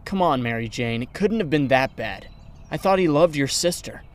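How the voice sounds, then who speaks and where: A man's voice: mid-range voice, animated, moderate pace, lightly acted. Peter, a man voicing an easygoing young guy. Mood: casual. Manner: casual, teasing.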